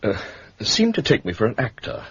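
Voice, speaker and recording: An actor with an English accent playing an older, brisk, pompous and secretive senior government official in a radio drama. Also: male voice, mid-range voice, wildly swooping pitch, husky, no room sound, highs cut off, heavily acted. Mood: brusque. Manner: clipped, self-important, harrumphing. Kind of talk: British radio drama acting.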